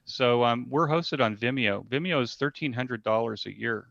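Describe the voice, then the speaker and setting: A man casually explaining and demonstrating something as an interview guest on a video call, in a halting way with repeated phrases. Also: medium pitch, vocal fry, a bit bright, no echo. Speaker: event organizer guest demonstrating a platform. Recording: slightly dull, band-limited audio.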